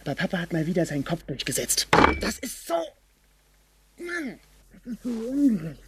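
An actor playing a lonely, eager teenage boy who confides haltingly in his home tape recorder, in a radio drama. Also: mid-range voice, huge pitch swings, heavy background noise. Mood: sulky, aggrieved. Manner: halting, naive, confiding, testing the microphone. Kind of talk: home tape diary in a fictional radio feature.

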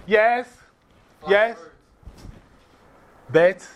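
A man from Ghana with a Ghanaian accent, teaching a class in a lively way, often repeating and spelling out words. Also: sits high, drawn-out words, muffled recording.

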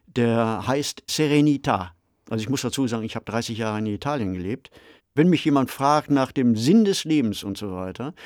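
A middle-aged or older man in a reflective podcast discussion, phrasing deliberately. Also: mid-range voice, darkish tone, dry recording, studio quality. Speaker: podcast discussion participant, novelist.

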